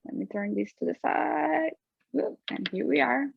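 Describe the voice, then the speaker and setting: A woman's voice: high-pitched, moderate pace, creaky, husky, no echo, lo-fi sound. A young woman with a Venezuelan Spanish accent, a native Spanish speaker, teaching an online drawing class in a cheerful manner and laughing often. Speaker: art teacher.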